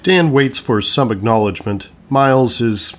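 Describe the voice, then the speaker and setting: A man's voice: medium pitch, animated, normal speed, noisy background. A man narrating an audio play, reading scripted stage directions. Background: music.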